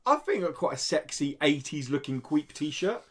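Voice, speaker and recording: A man's voice: on the high side, sing-song, normal speed, fairly bright tone, slightly rough. A British man hosting a podcast in casual, jokey chat full of slang. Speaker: podcast host.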